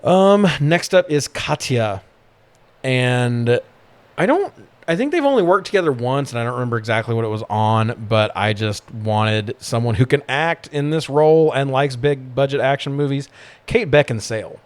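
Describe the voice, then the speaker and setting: A man's voice: mid-range voice, expressive, normal speed, clean tone. A man co-hosting a podcast, chatting casually about movies in slangy, profane language. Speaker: podcast host.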